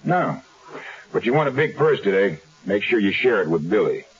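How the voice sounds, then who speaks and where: Wry investigator guy, low lively voice, old muffled radio drama. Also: moderate pace, slightly rough, heavily acted. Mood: cool, wry. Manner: wry, laconic. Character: cool, wry male insurance investigator, adult. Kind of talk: 1970s radio drama acting. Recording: dull 1970s radio recording.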